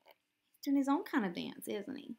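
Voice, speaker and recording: A woman in her thirties reading a picture book aloud at home to young children in an expressive, sing-song way. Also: fairly high pitch, normal speed, vocal fry. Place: small living room at home, indoors.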